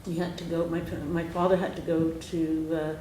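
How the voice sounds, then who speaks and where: A woman's voice: low voice, level tone, normal speed, warm tone. A woman in her sixties from Hungary introducing herself at a roundtable, meandering and correcting herself as she goes. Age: 60s. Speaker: lawyer. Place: meeting room roundtable. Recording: echoey room, faint hiss.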